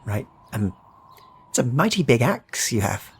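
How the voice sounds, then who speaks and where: A man's voice: mid-range voice, sing-song, measured pace, husky, pitched above the performer's own voice, exaggerated voice. A man voicing an awkward, chatty travelling trader in a fantasy game, hesitant and eager, often stammering over his words. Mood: nervous, chatty.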